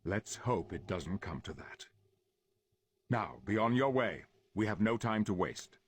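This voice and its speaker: A man playing a calm, authoritative professor leading a team of heroes in a video game, measured and formal. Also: on the low side, noisy background. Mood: grave, calming. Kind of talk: video game cutscene acting.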